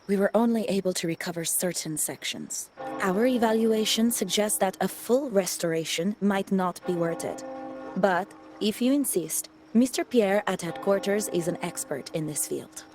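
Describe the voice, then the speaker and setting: A woman's voice: mid-range voice, normal speed, darkish tone, soft background music, natural voice. A woman playing a polite, formal subordinate giving a report in a video game, businesslike and deferential. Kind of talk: scripted game dialogue.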